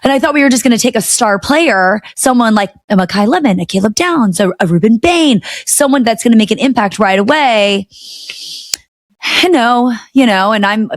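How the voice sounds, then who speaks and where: A woman in her thirties in a casual chat as a podcast guest, excitable and full of restarts and fillers. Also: on the high side, normal speed, no room sound, clean studio sound.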